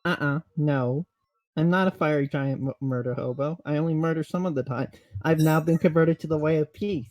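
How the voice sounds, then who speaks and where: A man's voice: on the high side, brisk, a little mellow, dry recording, lo-fi sound, faint music behind. A man bantering casually as a player in a tabletop roleplaying game, playful and jokingly argumentative.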